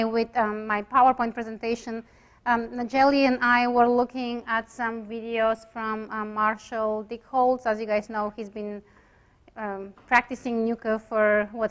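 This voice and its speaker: A woman with a Bulgarian accent speaks to a room of students in a relaxed, unscripted lecture. Her voice sits slightly high, and she often hesitates, filling gaps with "um" and restarting her sentences. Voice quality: hesitant, many fillers and restarts. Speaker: chiropractic radiologist lecturer.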